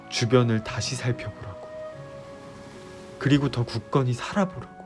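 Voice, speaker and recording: A man playing an earnest, disillusioned young fiancé in an audio drama, delivering a heartfelt, pleading monologue with deliberate pauses. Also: medium pitch, natural voice. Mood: earnest, sorrowful. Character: earnest, disillusioned young male fiance, resolved to leave utopia. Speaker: audio drama creator and voice actor. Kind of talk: Korean ASMR audio drama roleplay. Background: background music.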